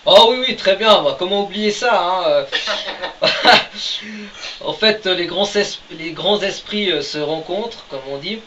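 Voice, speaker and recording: A man's voice: high-pitched, a little slow, fairly bright tone. A man co-hosting a casual podcast who talks hesitantly, with many fillers and dry jokes. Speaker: podcast co-host, writer of the story. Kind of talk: casual chat. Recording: distant mic, dull lo-fi sound, faint hiss.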